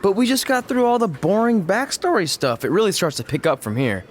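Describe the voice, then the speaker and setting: Goofy talkative wrestler pal, high male voice, swooping intonation, faint noise, game cutscene. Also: very animated, fairly quick. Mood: eager, put-upon. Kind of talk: video game cutscene acting.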